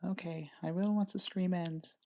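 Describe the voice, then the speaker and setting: A woman from Canada on a casual live stream, naming items one at a time in short, unhurried phrases. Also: lowish voice, fairly even intonation, mellow.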